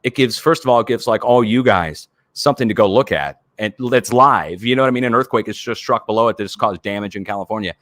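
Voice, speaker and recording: A man's voice: on the low side, fast talker, hardly any pauses, fairly bright tone, no room sound. A man in his forties, an earthquake livestreamer, rambling earnestly as he explains his plans on a casual video call. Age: 40s.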